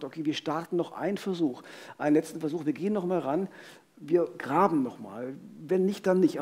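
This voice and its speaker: A middle-aged man hosts a live true-crime interview. His voice is fairly high for a man and somewhat rough, with a soft, relaxed tone. He searches for words as he builds his questions. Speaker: co-host. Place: vaulted venue, live audience on stage. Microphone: headset.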